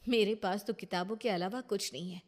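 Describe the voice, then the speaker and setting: A woman's voice: medium pitch, brisk, darkish tone, slight background noise, natural voice. A woman voicing a wronged, defiant young wife and mother in a cartoon moral story, indignant and emphatic. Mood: suspicious, challenging.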